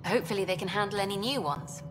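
An actress voicing an eager, upbeat female documentary journalist in a video game, talking quickly and pushing for answers. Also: medium pitch, long reverb tail, over music, natural voice. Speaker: documentary filmmaker character.

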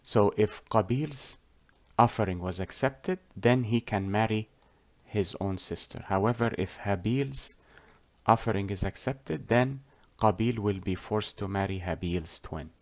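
A man whose first language is Arabic, with a lowish voice, tells a religious story at a very slow, measured pace. He pauses often, hunts for his words and corrects himself as he goes. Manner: deliberate, halting, with frequent pauses and self-corrections. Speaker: religious storyteller teaching on a whiteboard. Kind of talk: explanatory storytelling lesson.

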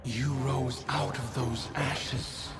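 An actor playing a mocking nightmare phantom of a bitter dead prince in a video game, taunting and theatrical. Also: male voice, mid-range voice, fairly even intonation, lightly acted. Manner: taunting, theatrical, drawn-out delivery. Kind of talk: dramatic game voice acting. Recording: game music behind the voice.

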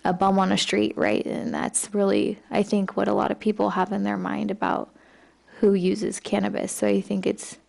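A woman's voice: medium pitch, flat delivery, moderate pace, vocal fry, darkish tone. A woman in her thirties speaking informally and encouragingly as a council member. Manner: encouraging, reassuring. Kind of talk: informal supportive remarks from the dais. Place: large council chamber, indoors. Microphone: gooseneck desk microphone.

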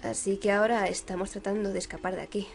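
A young performer voicing a cautious, protective young man from a horror game, calm, polite and serious. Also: high voice, quick pace, vocal fry, soft background music, voice pushed down. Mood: calm, concerned.

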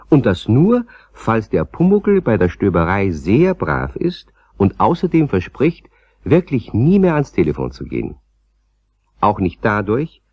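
A man's voice: fairly low pitch, slightly rough. A man narrating a scripted children's story as a storyteller. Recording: slightly dull, roomy old cassette recording.

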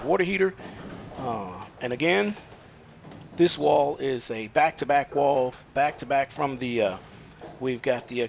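Man, plumber, gravelly, halting with fillers, faint noise behind. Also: medium pitch. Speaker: plumber demonstrating installation. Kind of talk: hands-on how-to explanation. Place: unfinished house under construction, indoors.

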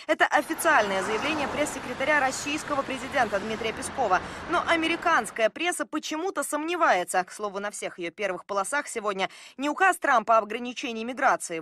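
Female news correspondent, brisk and bright scripted delivery, faint noise behind. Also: a little high, no echo, studio quality. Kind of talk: scripted news report narration.

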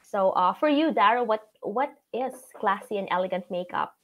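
A woman in her thirties with a Filipino accent and a raspy voice, hosting a live stream from home over a video call. She talks at a medium pitch and runs through her tips in a chatty way. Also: animated, normal speed. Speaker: host of a live show. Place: home room with shelves.

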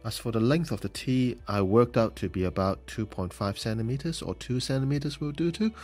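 A man hosting a model-building show, explaining the steps plainly, with pauses and ums. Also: mid-range voice, a little mellow, faint music behind. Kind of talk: hobby tutorial voice-over.

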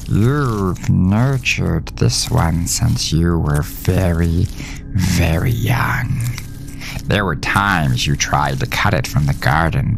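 A man voicing a solemn, knowing demon guide in a horror story, with a breathy half-whisper and a slow, deliberate delivery. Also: low-pitched, full character voice.

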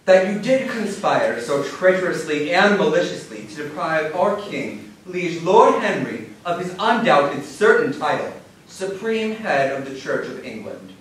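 A man's voice: on the high side, big pitch swings, measured pace. A man playing a pompous, officious English prosecutor in a period stage play, with declamatory delivery. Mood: accusing. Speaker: stage actor. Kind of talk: theatrical period stage acting, partly rhymed verse. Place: large theatre stage, indoors. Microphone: distant camera in the audience. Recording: echoey theatre from far off, background noise.

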